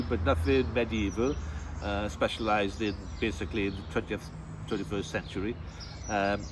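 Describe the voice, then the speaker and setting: A man's voice: mid-range voice, noisy background. Welsh man in his sixties, outdoors. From West Wales. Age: 60s. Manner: halting, many fillers and restarts. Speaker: local guide and teacher. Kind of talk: interview monologue.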